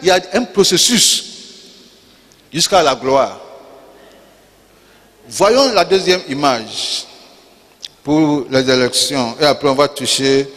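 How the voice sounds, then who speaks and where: A male pastor preaching a sermon in French, which is not his first language, with call-and-response lines, repeated key words and rising shouts. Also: mid-range voice, expressive, slow pace, long pauses, slight background noise. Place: church. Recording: long reverb of a church hall.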